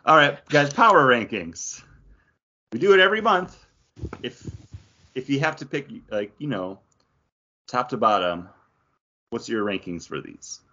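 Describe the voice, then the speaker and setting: A man's voice: mid-range voice, sing-song, a little slow, long pauses, bright, forceful tone, lo-fi sound. A man hosting a podcast and moderating a casual chat about comic books. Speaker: podcast host moderating discussion.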